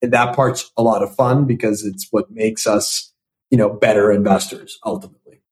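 A man's voice: medium pitch, big pitch swings, moderate pace, vocal fry. A young man speaking as an investor guest in a podcast interview, answering thoughtfully and often restarting with repeated words. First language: Italian. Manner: thoughtful, repeats words when starting sentences. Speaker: venture capital investor, guest.

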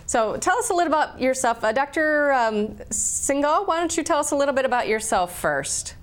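A woman hosting a medical interview show, asking questions in a friendly, steady way. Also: sits high, brisk. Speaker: TV health show host.

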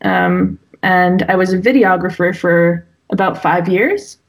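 A woman's voice: fairly low pitch, measured pace. A young woman guest talking casually about her work as a wedding photographer, with lots of fillers and restarts. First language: Dutch. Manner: talkative, full of fillers and restarts. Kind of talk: casual interview conversation.

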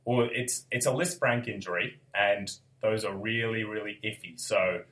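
A young Australian man hosting a casual sports podcast, slightly gravelly from a cold, chatty and quick to laugh. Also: fairly low pitch, fairly even intonation, fairly bright tone, rough recording. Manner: chatty, laughs often, restarts mid-sentence. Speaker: podcast host.

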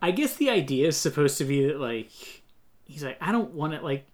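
Animated swearing podcast guy. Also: a little high, brisk. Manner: animated, swearing, mocking asides. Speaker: podcast co-host. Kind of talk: casual chat.

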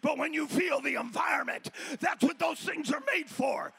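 A man with a very high, gravelly voice preaches a fiery Pentecostal sermon. He shouts and exhorts the congregation in a bright, tense tone. His voice pushes hard in a church sanctuary. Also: normal speed. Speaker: pastor.

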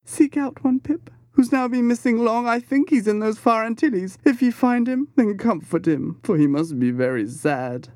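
A frenzied, grieving cabin boy in a classic sea tale. The voice is pushed high and swings wildly, chanting and lamenting with repeated cries. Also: lively intonation, normal speed, hardly any pauses, darkish tone, dry recording, clean studio sound. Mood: plaintive, tender. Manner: wild chanting exclamations and lamenting repetition.

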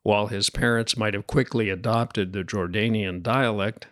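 A man with a somewhat low voice hosts a public radio interview, narrating between the clips in a calm, measured way. His voice is recorded close and dry, with no room sound. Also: no room sound.

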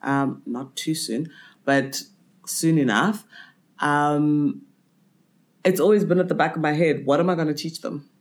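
A woman in her twenties who grew up in Botswana, a podcast guest who talks candidly and reacts quickly in a casual interview. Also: low-pitched, steady tone. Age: 20s.

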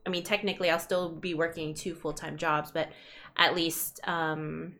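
A young woman hosting a relaxed podcast with friends. She rambles thoughtfully through her thoughts and breaks into laughter often. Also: deep voice, moderate pace, slight background noise. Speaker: podcast host. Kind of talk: casual chat among friends.

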